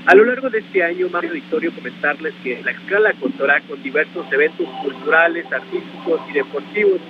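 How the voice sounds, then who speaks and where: A man reports live for the news over the phone, formal and quick. The line is tinny and phone-like, with clear noise behind him. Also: a little high, lively intonation. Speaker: field reporter.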